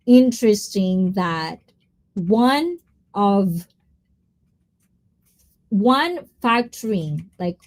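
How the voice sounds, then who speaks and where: Woman, live stream host, unhurried, chatty, mid pitch, Tagalog words mixed in. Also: darkish tone, low-quality recording. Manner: chatty, mixes in Tagalog words, drifts between topics. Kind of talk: casual live stream chat reading comments.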